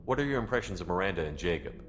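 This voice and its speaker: A man playing a human military commander in a video game, steady and supportive, with a direct, plainspoken manner. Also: low-pitched, very fast, faint music behind. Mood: curious, businesslike. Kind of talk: video game dialogue acting.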